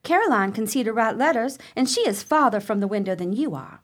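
A woman acting in a radio play as a fretful, soft-hearted grieving sister with a plaintive manner. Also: a little high, animated, a little fast. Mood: reluctant.

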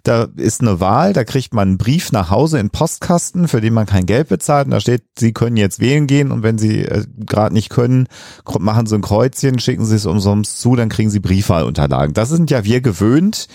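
A man with a German accent and a fairly low, mellow voice, recorded close and dry. He hosts a casual podcast interview, putting things plainly and a bit haltingly. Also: animated, dry recording. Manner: halting, plain-spoken, restates and illustrates things for listeners.

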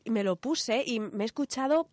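A woman with an accent from Spain co-hosts in a fairly high voice. Her talk is casual, colloquial and confiding, with a dry, close studio sound. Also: expressive, normal speed, darkish tone, dry recording. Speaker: radio show co-host. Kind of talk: casual chat. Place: radio studio.

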